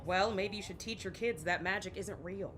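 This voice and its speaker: A woman's voice: moderate pace, fairly bright tone, natural voice. An actress playing a sensible, no-nonsense wife and mother in an audio drama, firm and reasoning. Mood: firm, exasperated. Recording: faint noise with low rumble.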